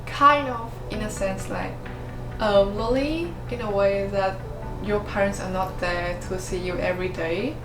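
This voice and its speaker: A woman from Vietnam with as an answers in careful, hesitant English full of "like" and "um". Her pitch is ordinary for a woman. The sound is roomy, with music playing underneath. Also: fairly even intonation, a little slow. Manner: hesitant, many "like" and "um" fillers. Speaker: international student interviewee. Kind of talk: interview answers.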